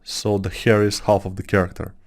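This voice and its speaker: A man's voice: low voice, level tone, a little fast. A man in his thirties from Bulgaria, with a Bulgarian accent, talking through a 3D sculpting tutorial in a rambling, musing way with many fillers. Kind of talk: casual tutorial talk over screen work.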